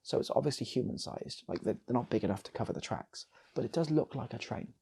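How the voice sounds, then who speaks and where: A man's voice: mid-range voice, animated, fast, creaky, warm tone, slightly rough. A man telling an improvised comic story in a loose, rambling way, repeating phrases as he goes. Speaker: storyteller and channel host.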